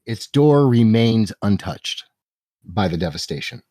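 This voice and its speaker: A man's voice: lowish voice, expressive, unhurried, warm tone, no room sound. A man narrating a tabletop fantasy game as game master, telling the scene descriptively to the players. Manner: descriptive second-person storytelling.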